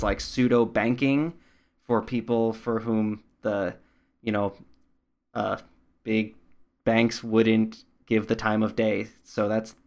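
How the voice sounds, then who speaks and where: A man interviewing someone in a thoughtful, measured and tentative conversational way. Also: medium pitch.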